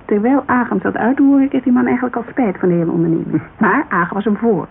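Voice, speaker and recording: Casting: talkative, loyal businesswoman, informal and gravelly, giving testimony in a radio play; narrow, hissy sound. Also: medium pitch. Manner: informal, digressive, animated storytelling. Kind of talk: naturalistic radio drama dialogue. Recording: muffled, hissy old radio recording.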